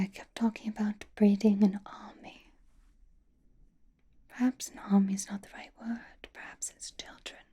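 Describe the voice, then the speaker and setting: A woman voicing a doting, possessive, elegant vampire in an intimate, coaxing, breathy whisper for an ASMR roleplay. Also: mid-range voice, dark tone. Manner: intimate, coaxing, slow and genteel.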